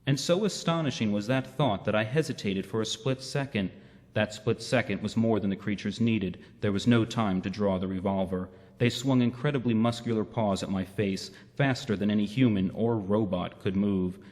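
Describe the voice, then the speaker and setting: A man playing a self-doubting robot who narrates his story in a reflective, measured way in a radio drama. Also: on the low side, darkish tone, natural voice. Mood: grave, astonished. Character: self-doubting male robot recounting his own downfall. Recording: long reverberant hall sound.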